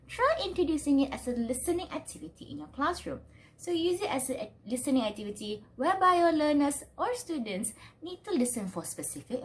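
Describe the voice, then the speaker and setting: A woman's voice: high-pitched, fairly bright tone. A woman giving an instructional student presentation in a playful, upbeat manner, posing questions to her listeners.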